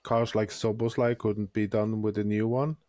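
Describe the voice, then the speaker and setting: A man's voice: lowish voice, little pitch movement, moderate pace, dark tone, no room sound, studio quality. A man co-hosting a gaming podcast who talks hesitantly and searches for words while giving critical opinions. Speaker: podcast co-host. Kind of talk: casual gaming podcast chat.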